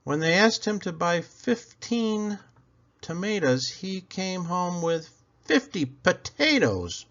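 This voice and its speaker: Very animated, slow-reading male storyteller. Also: sits high, muffled recording. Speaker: storyteller, read-aloud host. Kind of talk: expressive children's book narration.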